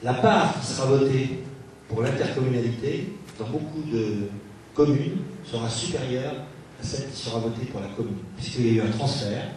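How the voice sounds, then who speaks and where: A man's voice: medium pitch, a little mellow. Echoey, distant Frenchman giving a speech. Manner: rhetorical questions, hesitant pauses. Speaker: local elected official. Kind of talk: political speech at a public meeting. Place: large reverberant meeting room. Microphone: distant microphone. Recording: echoey hall, distant mic, faint noise.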